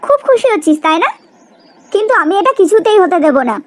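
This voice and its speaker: Woman acting a shrill-high jealous young cartoon woman. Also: animated, fast, a little hiss or hum. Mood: jealous, defiant. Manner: confrontational, dramatic. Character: jealous, accusing young woman, a poor friend. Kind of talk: cartoon melodrama dialogue.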